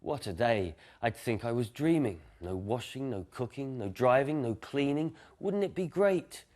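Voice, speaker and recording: A man's voice: medium pitch, expressive, normal speed, darkish tone, voice pushed up, natural voice. A man voicing a playful, sleepy father in a children's picture-book story, teasing and childlike in his eagerness. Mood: dreamy.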